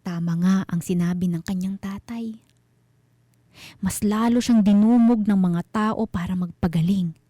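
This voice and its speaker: Dark-toned woman narrating radio horror. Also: mid-range voice, no room sound, clean studio sound. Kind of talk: scripted dramatic horror storytelling.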